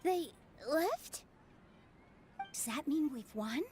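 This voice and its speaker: Sweet young female dancer, high-pitched, earnest, loyal, gentle, game voice acting, light music behind. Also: animated, takes it slowly. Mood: relieved.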